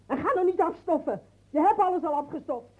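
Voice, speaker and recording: A woman's voice: extremely high pitch, expressive, a little fast, a little mellow. An actress playing a bitter, reproachful woman who needles insistently in an old radio play. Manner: insistent, accusing, repetitive. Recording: thin, phone-like old radio play recording.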